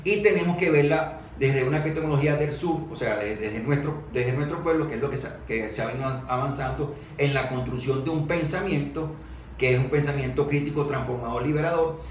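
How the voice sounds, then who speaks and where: A man giving explanatory historical and political commentary, haltingly repeating words as he searches for phrasing. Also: a little high. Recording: echoey room, distant microphone, background noise.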